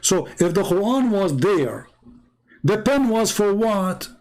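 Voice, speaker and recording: A man's voice: high-pitched, sing-song, takes it slowly, darkish tone. A man with an Arabic accent, speaking English as a second language, giving argumentative commentary full of sarcastic rhetorical questions. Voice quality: broken, non-native grammar. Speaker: religious debate commentator. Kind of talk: polemical commentary.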